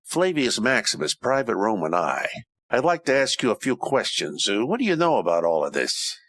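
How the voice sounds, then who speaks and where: An actor playing a hard-boiled, wisecracking Roman private eye, wry and tough-talking, in an old-time radio detective parody. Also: male voice, fairly low pitch, very animated, moderate pace, fairly bright tone, dry recording, exaggerated voice. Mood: dry.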